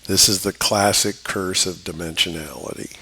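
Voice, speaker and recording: A man delivers a scripted lecture in a low-pitched voice, weighed down by a cold. His intonation stays very flat. The delivery is formal and measured, that of an academic explaining methods step by step. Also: creaky, husky. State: has a cold. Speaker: lecturer, book author.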